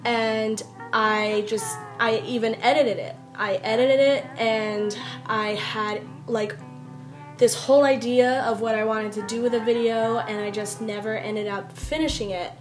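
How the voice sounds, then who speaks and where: Young woman vlogger, higher voice over guitar music. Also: fairly even intonation, a little slow. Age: young adult. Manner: candid, self-deprecating, rambling. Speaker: YouTube vlogger. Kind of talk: casual personal vlog to camera. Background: soft guitar music.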